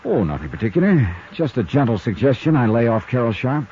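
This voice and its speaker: A dull vintage radio recording of a hard-boiled investigator from a 1950s detective serial. He talks fast in a lowish, animated voice, wry and sardonic as he plays and narrates the case. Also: slight background noise. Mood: wry, casual. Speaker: lead character and narrator. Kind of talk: 1950s radio acting and first-person narration.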